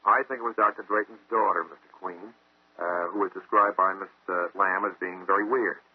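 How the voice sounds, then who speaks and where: A man answering haltingly as a guest on a radio show. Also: low-pitched, fairly even intonation, husky, dry recording. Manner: halting, hesitant. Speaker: guest contestant. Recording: muffled vintage radio recording.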